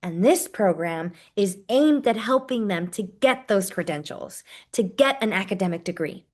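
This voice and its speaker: A woman's voice: medium pitch, lively intonation, moderate pace, darkish tone, lightly acted. A woman playing a friendly, grateful college program associate, chatting enthusiastically in a first-person stage narrative. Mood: explanatory. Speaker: performer portraying a university program associate. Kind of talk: dramatized first-person interview narrative, conversational.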